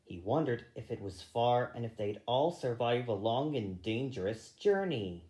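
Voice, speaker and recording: A man reading a children's story aloud, a little halting, going back over misread phrases. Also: mid-range voice, animated, warm tone, reverberant. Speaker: storybook reader.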